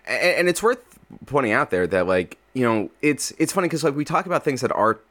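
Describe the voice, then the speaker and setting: A man speaking as an interview guest in a casual chat, informal and halting as he works out his thoughts. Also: mid-range voice, sing-song, fairly bright tone. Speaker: podcast creator, interview guest.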